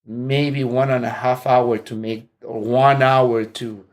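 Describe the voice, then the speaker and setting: A man's voice: medium pitch, level tone, takes it slowly. A man in his forties from Mexico, with a Mexican Spanish accent, chatting as an interview guest, laughing often and restarting his sentences. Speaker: interview guest, martial arts instructor. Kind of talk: casual interview chat. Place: indoor room, seated.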